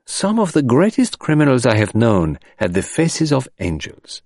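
A man voicing a courteous, shrewd detective in an audiobook, with a gentle, ironical, emphatic manner. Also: mid-range voice, very animated, moderate pace, no room sound, studio quality, pitched above the performer's own voice. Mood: gentle irony. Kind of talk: audiobook character voice.

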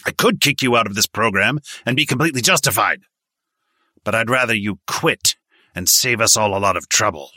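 A man voicing a gruff, scornful male army drill sergeant who barks orders. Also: low voice, lively intonation, moderate pace, long pauses, pushed and bright, no echo, studio quality, exaggerated voice. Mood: scolding. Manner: barking commands.

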